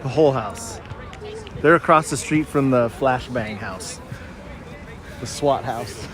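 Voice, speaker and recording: A man's voice: mid-range voice, slow, fairly bright tone. A man in casual conversation as a spectator at a soccer game. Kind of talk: casual chat. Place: outdoors beside a sports field. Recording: outdoor field with crowd and traffic noise. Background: crowd and urban outdoor sounds.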